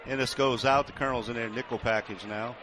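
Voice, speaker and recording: A man with an American accent and a medium-pitched voice serves as color commentator on a live football broadcast. He analyzes the action in a conversational way from a stadium broadcast position. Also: steady tone, fast, a bit bright, a little hiss or hum.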